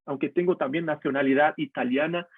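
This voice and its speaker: A man's voice: on the high side, fairly even intonation, fairly quick, slightly rough, no echo. A man from Brazil, a pastor, speaking candidly and directly to his listeners in a personal devotional talk. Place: home, video call. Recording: tinny phone-like online call audio.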